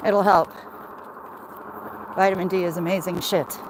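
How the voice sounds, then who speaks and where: Slow-talking American woman, noisy outdoor livestream. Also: on the low side, fairly even intonation. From Michigan, United States. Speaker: livestream host chatting with viewers. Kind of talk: casual livestream chat while walking.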